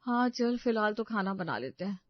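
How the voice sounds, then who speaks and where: A woman's voice: mid-range voice, expressive, normal speed, no echo, close to an everyday voice. A woman voicing a harried, aggrieved elder daughter-in-law in a family story, plaintive and emphatic, in a slightly dull recording. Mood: frustrated.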